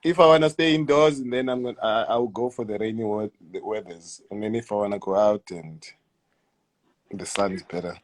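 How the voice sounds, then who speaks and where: A man in his thirties with a South African accent, answering interview questions in a hesitant, halting way, often repeating words and letting sentences trail off quietly. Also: on the low side. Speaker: guest footballer. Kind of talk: casual interview.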